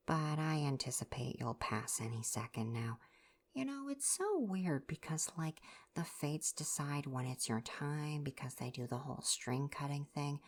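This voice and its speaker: Woman doing a quirky greek god doctor for asmr roleplay, really low voice, chatty and rambly, kinda formal but drifts into casual asides. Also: gravelly, full character voice. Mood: calm, attentive, then musing, rambling. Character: eccentric, chatty, absent-minded ancient Greek healer god.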